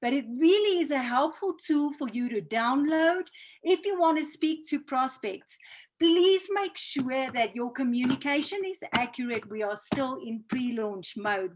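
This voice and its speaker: A woman with a South African accent speaks in a high voice, presenting as a training host. Her delivery is earnest and motivational. She is heard over a video call. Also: animated, a little slow, a little hiss or hum. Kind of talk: online business training presentation.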